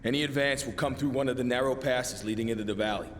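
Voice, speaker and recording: An actor playing a determined, clipped special operative in a military game. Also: male voice, medium pitch, fast, lightly acted. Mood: businesslike. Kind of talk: cinematic military game acting. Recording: loud ambient and vehicle noise.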